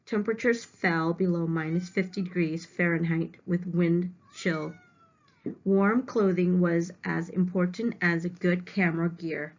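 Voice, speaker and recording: A woman reading aloud as a teacher, stopping to explain words simply to young students. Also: fairly low pitch. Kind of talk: read-aloud lesson with simple word explanations. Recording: dull, lo-fi home recording.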